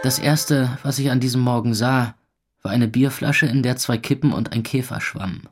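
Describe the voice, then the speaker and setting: An actor playing a hungover young club owner, narrating in a weary, sardonic, gravelly voice in an audio drama. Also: male voice, medium pitch, fairly even intonation, moderate pace, soft background music. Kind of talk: first-person scripted narration in audio drama.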